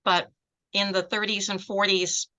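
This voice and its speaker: A woman's voice: medium pitch, little pitch movement, unhurried, pushed and bright. A middle-aged American woman, a law professor, reminiscing in conversation with many fillers and restarts. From United States.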